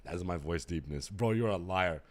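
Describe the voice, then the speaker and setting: Very deep, hoarse sick gamer guy. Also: moderate pace. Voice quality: rough, hoarse. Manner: laid-back slang, joking with chat. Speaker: streamer. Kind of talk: casual gaming stream chat.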